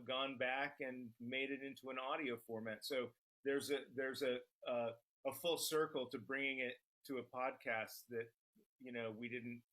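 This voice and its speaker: A man giving a measured, conversational explanation as an interview guest, with halting, deliberate phrasing. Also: medium pitch, a bit bright, rough recording. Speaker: screenwriter and podcast creator, interview guest.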